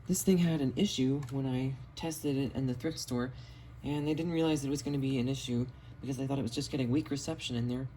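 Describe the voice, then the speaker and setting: A man's voice: mid-range voice, steady tone, fast, few pauses, warm tone, poor audio. A man casually showing and explaining his radio finds in a matter-of-fact way, recorded on a cell phone in a basement. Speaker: radio collector presenting finds. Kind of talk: casual show-and-tell vlog. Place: home basement. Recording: audible background noise, phone recording.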